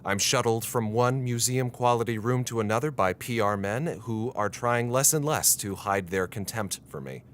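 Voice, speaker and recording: A man's voice: mid-range voice, normal speed, few pauses, a little hiss or hum, natural voice. A man with an American accent playing an earnest, frustrated 1960s reporter who narrates a brooding audio diary in a radio drama. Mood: matter-of-fact, frustrated. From United States.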